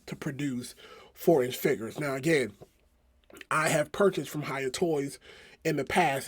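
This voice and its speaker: A man with a hoarse voice from a cold, talking casually through a toy review with lots of fillers. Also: mid-range voice. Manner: rambling, many fillers and restarts. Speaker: toy collector vlogger.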